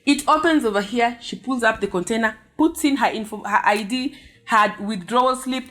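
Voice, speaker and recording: A Kenyan woman vlogger telling a story to her viewers in a lively, chatty way, with frequent exclamations. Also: a little high, moderate pace.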